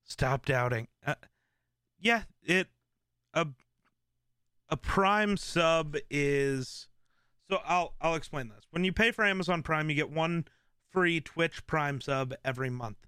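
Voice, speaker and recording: A man hosting a live stream, chatting casually in a rambling way with lots of fillers and restarts, in a relaxed voice. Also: fairly high pitch, takes it slowly, pauses often, no room sound, studio quality. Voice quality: relaxed, smooth voice. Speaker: streamer host.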